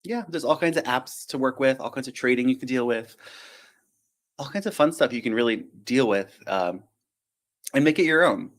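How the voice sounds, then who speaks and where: A man's voice: mid-range voice, animated, moderate pace. A man, a flight attendant hosting a casual live-stream Q&A, chatty and self-deprecating, drifting into tangents and acting out little quotes.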